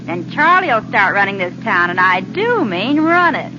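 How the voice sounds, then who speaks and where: A woman's voice: mid-range voice, very animated, moderate pace, over music, natural voice. An actress playing a brassy, scheming gangster's girlfriend in a 1940s radio drama. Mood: gleeful, smug. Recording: muffled, noisy 1940s radio recording.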